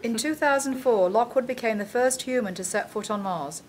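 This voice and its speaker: British female anchor, fifties, acted by a woman, formal spoof bulletin, fairly high voice. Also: moderate pace, slight background noise, lightly acted. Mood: brightly formal. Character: British female newscaster in her early fifties. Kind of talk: parody newscast delivery.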